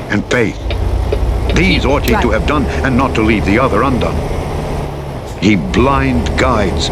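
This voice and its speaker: A man reading the Bible as a dramatic audiobook narrator, in a solemn, declamatory and emphatic manner. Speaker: scripture narrator. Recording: very loud vehicle engine noise behind. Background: truck driving game engine rumble.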